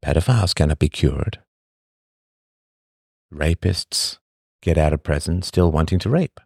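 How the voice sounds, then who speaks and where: A man hosting a podcast, reading a scripted monologue full of rhetorical questions and emphatic pauses. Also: extremely low pitch, lively intonation, gravelly, a little mellow, husky, dry recording, studio quality. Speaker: podcast host.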